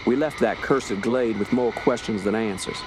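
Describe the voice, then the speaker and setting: An actor playing a grim, commanding police officer in a radio horror drama, with a dramatic, authoritative delivery. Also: male voice, lowish voice, moderate pace, background noise, close to an everyday voice. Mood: grave horror. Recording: loud dramatic music and effects behind.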